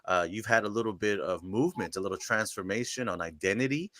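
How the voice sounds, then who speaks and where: A man hosting a casual interview, asking long questions slowly and carefully. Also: lowish voice, sharp tone, no echo, studio quality. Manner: slow, searching questions. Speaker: interviewer, pastor. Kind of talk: conversational interview.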